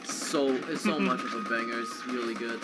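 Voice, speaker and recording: A man's voice: medium pitch, steady tone, moderate pace. A man doing casual live video game commentary in an informal, chatty way, as a speedrunner and co-commentator. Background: video game music.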